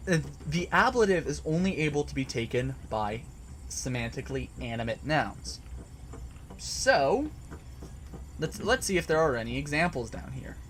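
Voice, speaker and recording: A man with a fairly high voice talks slowly and haltingly, stopping and restarting often as he answers his chat. He is recording at home in a basement, with a faint hum of background noise behind him. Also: a bit bright. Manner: hesitant, many restarts and fillers. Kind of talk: casual livestream explanation.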